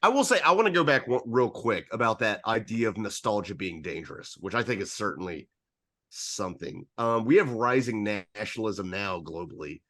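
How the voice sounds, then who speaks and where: A man's voice: on the low side, expressive, normal speed, bright, forceful tone. A man co-hosting a casual film discussion, speaking reflectively and swearing freely. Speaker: podcast co-host.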